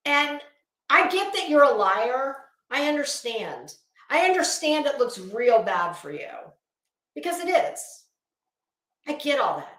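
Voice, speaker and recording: A woman's voice: sits high, sing-song, measured pace, a bit bright, echoey room. A woman delivering an opinionated online commentary rant, blunt, scolding, emphatic and sweary. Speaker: online commentary video host.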